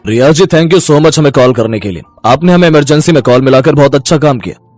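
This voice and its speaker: A man's voice: fairly high pitch, fairly quick, over loud music, natural voice. A man playing a firm policeman in an audio story, speaking formally and emphatically. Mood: grateful, firm.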